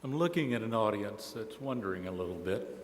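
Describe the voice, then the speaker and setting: A man giving unscripted, deliberate commentary as a conference panelist, halting at times and posing emphatic questions. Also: lowish voice, lively intonation. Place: large indoor conference hall. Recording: echoey hall, faint background noise.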